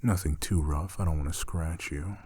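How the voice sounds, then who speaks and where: A young man acting as a gentle, teasing roommate in an intimate ASMR roleplay, speaking soothingly and coaxingly, close and soft. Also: rumbling low, normal speed, husky, exaggerated voice. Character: gentle, teasing male roommate soothing a shy catgirl-like companion.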